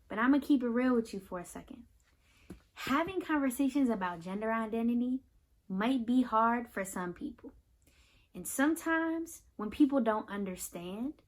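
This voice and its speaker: A high-voiced American woman hosts a picture book read-aloud for children. She talks straight to her young listeners in an affirming, reassuring way, with expressive repetition to drive points home. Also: breathy edge. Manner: expressive, repeats phrases for emphasis.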